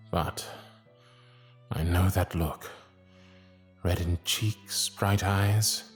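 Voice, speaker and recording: A man playing a devoted, earnest former butler in an ASMR audio drama, speaking tenderly. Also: low-pitched.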